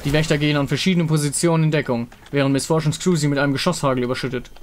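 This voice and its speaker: A man's voice: on the high side, steady tone, racing, slight background noise. A man reading scripted story narration as the narrator of a fantasy tale.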